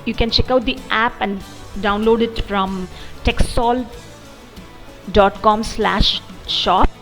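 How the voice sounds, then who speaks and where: A woman narrating an explanatory software demo in a methodical, step-by-step way. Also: mid-range voice, steady tone, takes it slowly. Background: background music.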